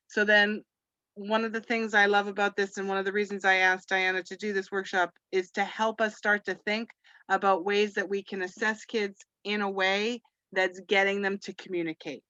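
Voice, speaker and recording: A chatty woman from Canada, French her first language, hosting a teachers' webinar. She rambles warmly through asides, leaning on "you know" again and again. Her voice sits at a middling pitch and comes through a dull, dry video-call line. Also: no echo. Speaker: webinar host. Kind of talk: casual webinar talk to teachers.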